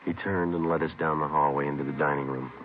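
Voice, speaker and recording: An actor playing a terse, businesslike police sergeant narrating a vintage radio crime drama in a deadpan, clipped way. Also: male voice, extremely low pitch, fast, narrow, tinny audio, a little hiss or hum. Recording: band-limited vintage radio recording, music behind.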